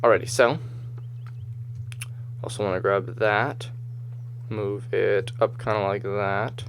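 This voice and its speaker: Male gamer, low and slightly hoarse, casual thinking-aloud commentary recorded over game footage. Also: steady tone, slow pace, slight background noise. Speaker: gamer, host of a gameplay video series.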